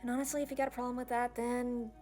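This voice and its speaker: A woman's voice: a little high, flat delivery, fast talker, a little mellow, faint music behind, natural voice. A woman playing an awkward, bashful young princess in a fantasy audio roleplay, hesitant and stammering, rambling in casual modern phrases. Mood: self-conscious, defensive. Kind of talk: ASMR romantic fantasy audio roleplay, one-sided dialogue.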